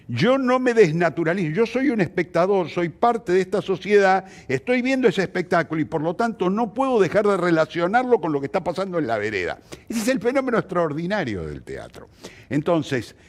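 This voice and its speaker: A man with an Argentine accent answering in a conversational interview, expressive and fond of illustrating his points with examples. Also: sits high. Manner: expressive, illustrates with anecdotes and acted quotes. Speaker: theatre practitioner interviewed as guest.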